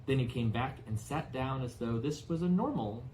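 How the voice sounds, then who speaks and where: A man's voice: mid-range voice, lively intonation, normal speed, darkish tone, slight background noise, rough recording. A man giving scripted narration of a storybook as an audiobook reader.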